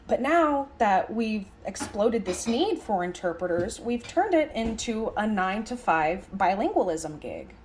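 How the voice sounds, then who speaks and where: A woman voicing a lecture as an interpreter, conversational, speaking in halting phrases with stress on key words. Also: mid-range voice, sing-song, darkish tone, slight background noise. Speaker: sign language interpreter voicing a signed lecture.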